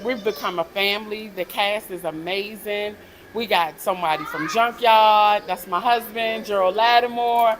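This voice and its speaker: Enthusiastic woman interviewed over background noise. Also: medium pitch. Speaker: stage actress. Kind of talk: casual post-show interview.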